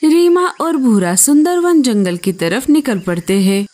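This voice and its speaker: Woman narrator with a slightly high voice. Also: animated, a little slow, a little mellow. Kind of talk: scripted storybook narration.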